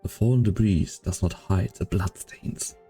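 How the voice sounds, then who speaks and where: A man playing a grave, brooding storyteller, narrating a dramatic fan dub in a gravelly, husky voice. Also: deep voice, unhurried, mellow, faint music behind, full character voice.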